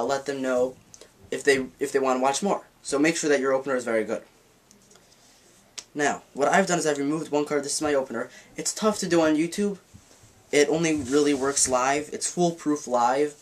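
A man teaching a card trick in a casual tutorial, rambling and correcting himself as he handles the cards at a table in a dim room. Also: on the high side. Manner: rambling, self-correcting, demonstrating while talking. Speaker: magic teacher.

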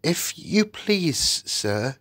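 A man's voice: a little high, big pitch swings, very slow, a bit bright, no echo, voice pushed up, full character voice. A timid, humble cabman's wife, as a male storyteller puts her on, shy and deferential with plain, homely speech. The voice is pushed well up and sounds a little distant.

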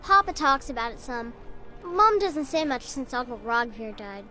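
A woman's voice: sits high, very animated, moderate pace, sharp tone, faint music behind, full character voice. A woman playing a chatty, innocent little village girl in a fantasy video game, earnest and childlike. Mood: wary, sad. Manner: wide-eyed, childlike, earnest.

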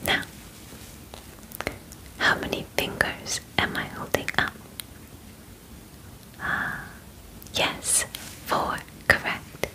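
Young woman, ASMR roleplay, whispered, very slow, formal clinical phrasing, close mic. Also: rough recording. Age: 20s. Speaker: ASMR roleplayer acting as alien examiner. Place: small bedroom, indoors.

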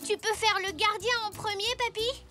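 A woman voicing an eager, playful young boy zebra in a cartoon, with a high childlike voice. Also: normal speed, soft background music. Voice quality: high childlike voice, lively and bright. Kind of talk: French cartoon dubbing.

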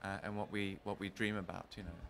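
A middle-aged man from Scotland, his voice on the low side, talks slowly and gropes for words with many pauses. He speaks into a handheld mic in a wide indoor room, with a faint hum in the background. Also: flat delivery, gravelly. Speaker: designer, interview guest. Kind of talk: casual interview answers. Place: large indoor showroom. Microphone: handheld mic held by interviewer.

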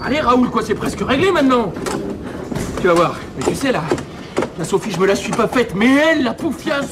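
An actor playing a crude, bullying thug in a radio drama, coarse and agitated. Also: male voice, extremely high pitch, very animated, fairly quick, heavy background noise. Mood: aggressive. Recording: loud music and hubbub behind.

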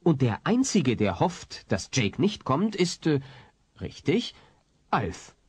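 A man's voice: on the high side, wildly swooping pitch, takes it slowly, a little mellow, slightly rough, dry recording, exaggerated voice. A man narrating a comic German children's audio play as a wry, playful storyteller.

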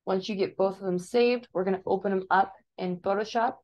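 American woman giving a software tutorial. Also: mid-range voice, steady tone, a little fast, muffled recording. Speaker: digital design teacher. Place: voice-over for a screen recording.

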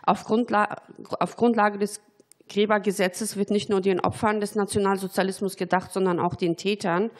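A woman with a cold speaks in an even, flat tone. She reads formal, prepared questions to a committee witness in a steady, deliberate way. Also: medium pitch. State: has a cold. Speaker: member of parliament.

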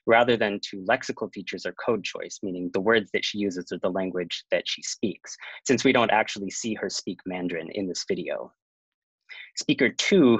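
A man presenting a prepared academic talk over a video call, in a measured reading manner. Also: on the low side, a bit bright, husky, dry recording. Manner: measured, reads from prepared notes. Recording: dull, dry lo-fi sound.

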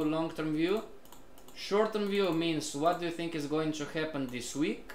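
A man's voice: fairly high pitch, reverberant, slight background noise. A man teaching a live online trading class, repeatedly questioning and prompting his students as a group. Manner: repetitive, questioning, addresses the group often.

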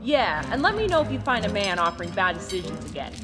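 A woman's voice: mid-range voice, very animated, quick pace, lightly acted. An actress playing a sardonic female adventurer in a fantasy audio drama, sarcastic in manner. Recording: long hall reverb over music.

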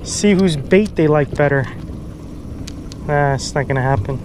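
Male fishing vlogger, cocky and teasing, outdoors on a lake boat with loud wind noise. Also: fairly high pitch, measured pace. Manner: cocky, joking, hypes catches loudly. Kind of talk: casual teasing banter. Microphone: action camera on the boat. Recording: noisy outdoor boat audio. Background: wind and water noise.

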